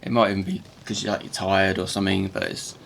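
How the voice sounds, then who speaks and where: Guy with a croaky gravelly morning voice, low and flat, stumbling and restarting as he explains his workshop craft. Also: slight background noise. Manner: halting, with many repeated words and restarts. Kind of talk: casual workshop tutorial voice-over.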